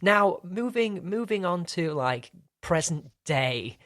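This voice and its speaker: A man's voice: sits high, sing-song, slow pace, a bit bright, no room sound, clean studio sound. A man hosting a casual podcast interview, friendly and chatty, often restarting his phrases. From Britain. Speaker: podcast host.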